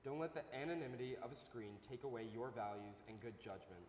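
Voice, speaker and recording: A man's voice: medium pitch, animated, fast, a little mellow. A man presenter delivering a scripted address from a stage. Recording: echoey hall, faint noise.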